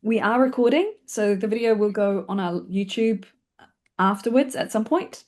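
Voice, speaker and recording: A woman with a New Zealand accent, talking fast and informally as she hosts an online webinar, with a chatty, self-deprecating manner. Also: mid-range voice, a little mellow. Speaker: webinar host and film community organiser. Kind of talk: informal spoken webinar presentation. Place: online video call.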